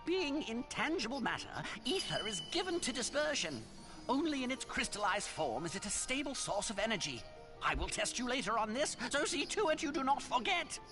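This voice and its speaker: A stern, scolding woman scholar in a video game. Her fairly high voice swings dramatically as she lectures with pedantic precision over music. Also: very animated, normal speed. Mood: exasperated, stern. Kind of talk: video game cutscene acting.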